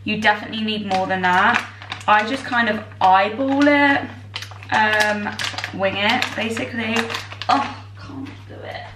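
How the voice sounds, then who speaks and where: A young woman in her twenties, tired and a little croaky, chatting in a bubbly way to her camera while she bakes in her home kitchen. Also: mid-range voice, steady tone, unhurried, background noise. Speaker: vlogger. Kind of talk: casual chat. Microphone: far camera. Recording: echoey kitchen from far off.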